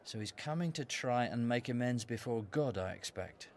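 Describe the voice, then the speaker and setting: A sardonic, gossipy priest played by a man in a radio drama. He is wry and knowing, in a mid-pitched voice that rises and dips freely. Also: animated, normal speed, slight background noise, close to an everyday voice. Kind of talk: radio play acting.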